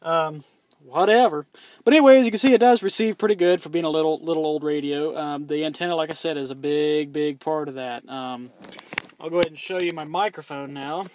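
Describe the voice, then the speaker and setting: A man's voice: fairly high pitch, no echo. Casual young man reviewing his CB radio. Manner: relaxed, rambling, many ums and restarts. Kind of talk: casual product review vlog.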